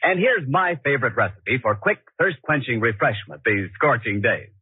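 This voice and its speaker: A man's voice: mid-range voice, lively intonation, normal speed, no room sound. A male radio announcer reading scripted copy with polished, emphatic 1940s salesmanship. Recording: roughened, muffled 1940s radio broadcast, faint music.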